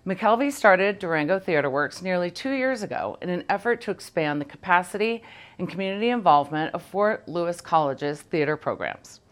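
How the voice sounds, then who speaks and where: A woman presenting a local news segment in a scripted, measured read. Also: fairly low pitch.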